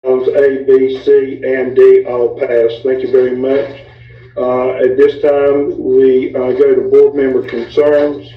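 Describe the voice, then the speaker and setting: A man's voice: medium pitch, little pitch movement, takes it slowly. A man chairing a board meeting with procedural, slightly hesitant, formal talk. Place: indoor meeting room. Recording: echoey room, distant, dull sound.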